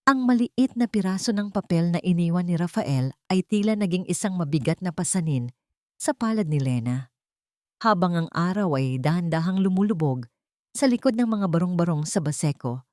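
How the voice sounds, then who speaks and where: Low-pitched woman, solemn story narrator. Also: darkish tone, no echo, studio quality. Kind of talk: dramatic scripted story narration.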